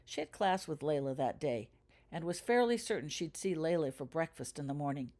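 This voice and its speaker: A woman's voice: deep voice, animated, a little fast, darkish tone. A woman narrating a story as an author reading their own fiction, in a scripted audiobook style.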